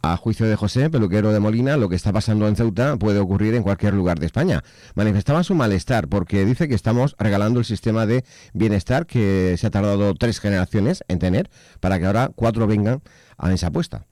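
A man's voice: lowish voice, animated, fast, mellow, no room sound, clean studio sound. A man with a Spanish accent from Spain, reporting the news in scripted narration.

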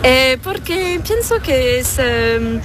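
Slow, word-searching young woman in the street. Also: high voice, lively intonation. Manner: halting, searching for words. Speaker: passer-by. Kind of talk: street interview answer. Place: outdoors on a city street. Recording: street noise.

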